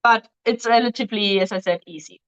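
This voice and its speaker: Woman, mid-pitched voice, live-stream host answering questions, halting phrasing, muffled lo-fi sound. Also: a bit bright. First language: German. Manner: reads questions aloud, answers in loose non-native phrasing with many ums. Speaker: dating agency host answering viewer questions.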